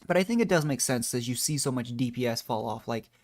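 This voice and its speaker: A man's voice: mid-range voice, lively intonation, fast talker, faint music behind. A man doing casual, rambling gameplay commentary as a streamer, thinking aloud in a modest, unsure way. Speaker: video game streamer.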